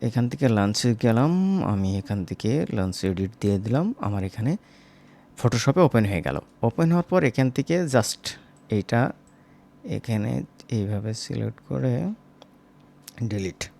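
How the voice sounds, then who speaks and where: A man's voice: medium pitch, lively intonation, measured pace, creaky, pauses often, warm tone. Man giving a casual tutorial. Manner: informal, addresses viewers directly. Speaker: animation tutor. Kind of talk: casual screen-recorded software tutorial.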